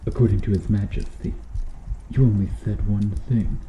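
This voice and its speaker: A man voicing a cruel, bitter medieval knight in a slow-burn ASMR roleplay, speaking with brooding, deliberate menace. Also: lowish voice, steady tone, roomy sound, dull audio, a little hiss or hum, natural voice. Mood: grave, probing. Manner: brooding, menacing, deliberate delivery with dropping hushed phrases.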